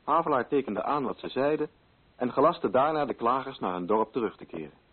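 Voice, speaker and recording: An actor playing a sober literary narrator, telling a story in scripted radio drama style. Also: male voice, mid-range voice, lively intonation, fairly quick, breathy edge. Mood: sober, solemn. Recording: muffled old radio recording.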